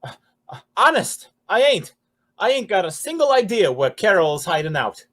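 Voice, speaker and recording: High, stammering, cringing stool pigeon. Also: male voice, big pitch swings, normal speed, a bit bright, exaggerated voice. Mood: frightened. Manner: stammering, whining, slangy. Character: nervous, cringing male underworld informant. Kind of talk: 1940s radio acting.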